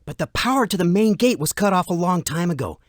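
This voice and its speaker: A woman's voice: on the low side, animated, fast, a little mellow, no room sound, studio quality, close to an everyday voice. A woman voicing a serious young adventurer in a cartoon computer game, earnest and dramatic. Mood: urgent.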